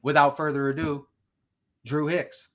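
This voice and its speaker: A man's voice: a little high, flat delivery, measured pace, fairly bright tone. A man hosting a recovery ministry live stream, talking with energy and a preacher-like cadence, repeating phrases for emphasis. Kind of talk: upbeat host introduction.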